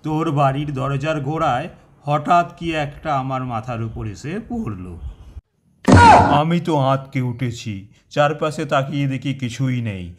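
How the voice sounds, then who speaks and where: A man's voice: medium pitch, moderate pace, heavy background noise, lightly acted. A man voicing a grumbling, put-upon male relative in a cartoon, given to exclaiming and complaining. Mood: dazed, complaining. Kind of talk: cartoon voice acting.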